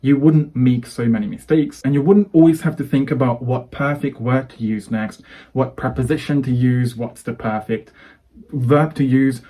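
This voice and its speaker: A man's voice: mid-range voice, moderate pace, mellow. A man from Hungary, a native Hungarian speaker with a Hungarian accent, giving an earnest, persuasive English-coaching lesson to camera. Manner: earnest, persuasive, builds lists of phrases.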